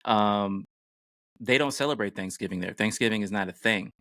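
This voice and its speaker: Low-voiced American man, dry close sound. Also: moderate pace, a bit bright, dry recording. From United States. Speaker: podcast host. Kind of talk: casual podcast chat.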